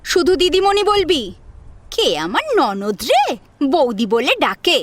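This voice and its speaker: A woman's voice: extremely high pitch, very animated, a little slow, a bit bright, dry recording, exaggerated voice. A woman playing a bullying, short-tempered young sister-in-law in an audio drama, scolding and haughty as she gives orders. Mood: haughty, bossy. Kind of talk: Bengali audio drama acting. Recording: music under the voice.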